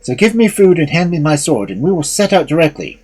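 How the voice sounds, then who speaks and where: A man performs a young storybook hero with a very husky voice, pitched above the middle. He is earnest, devoted and determined, with big swings of pitch as he declares himself. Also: very animated, fairly quick, a little mellow. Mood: urgent, determined.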